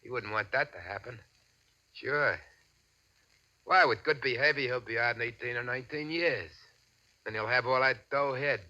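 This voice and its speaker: An actor playing a cocky, greedy American racketeer who talks in boastful gangster slang, in the style of 1940s radio crime drama. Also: male voice, medium pitch, moderate pace, pauses often, pushed and bright. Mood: defiant, scheming. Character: cocky, greedy small-time racketeer man with a swaggering streak. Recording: dull, band-limited old radio recording.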